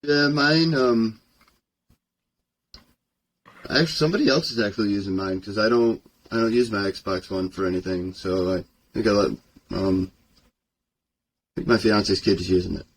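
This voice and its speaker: A man's voice: lowish voice, normal speed, slight background noise, low-quality recording. A young man co-hosting a casual podcast, chatting and teasing his co-host. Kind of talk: casual chat.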